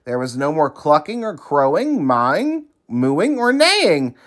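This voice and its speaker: Male children's story reader: very lively pitch swings, unhurried, emphatic delivery. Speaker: teacher reading to young children. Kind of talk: expressive children's read-aloud.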